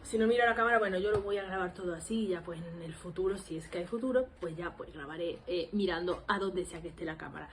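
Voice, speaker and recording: A woman's voice: lowish voice, quick pace, hardly any pauses, slight background noise. Andalusian girl in her 20s rambling at her camera, lots of ums and little laughs, self-deprecating. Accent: Andalusian Spanish. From Spain. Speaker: language learning vlogger. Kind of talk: casual self-introduction to camera.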